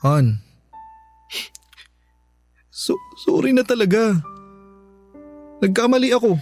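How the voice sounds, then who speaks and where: A sheepish, high-voiced Filipino boyfriend. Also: male voice, sing-song, darkish tone, exaggerated voice. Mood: sheepish, apologetic. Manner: halting, pleading. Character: apologetic young boyfriend, sheepish, pleading, sweet-talking. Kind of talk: Filipino radio drama acting. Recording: music bed behind the voice.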